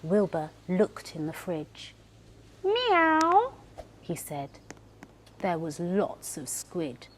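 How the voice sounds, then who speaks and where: A woman narrating a children's storybook as a storyteller, in an expressive, deliberate way. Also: low-pitched, a little hiss or hum. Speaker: professional storyteller.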